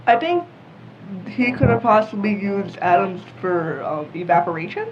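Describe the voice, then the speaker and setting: A woman's voice: medium pitch, big pitch swings, moderate pace. A teenage female podcast panelist reasoning aloud tentatively in a casual discussion. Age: teens. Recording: dull lo-fi audio, background noise.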